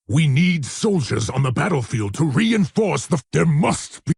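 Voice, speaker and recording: Gravelly, husky old warrior commander. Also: male voice, on the high side, very animated, measured pace, darkish tone, no room sound, studio quality, heavily acted. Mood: outraged, grave. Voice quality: gravelly, raspy. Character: gruff, anguished old warrior commander protesting orders. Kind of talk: video game voice acting.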